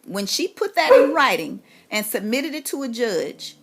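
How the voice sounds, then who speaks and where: A woman's voice: a little high, very animated, normal speed, husky. An aggrieved woman making a long complaint on a phone call, speaking insistently and emphatically. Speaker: caller, parent making a complaint.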